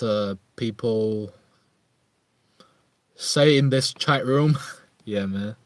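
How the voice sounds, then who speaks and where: A man from northeast China with a Chinese accent chats casually as a livestream host, musing haltingly and often repeating his phrases. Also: medium pitch, dry recording. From Harbin, northeast China. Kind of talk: casual live chat monologue.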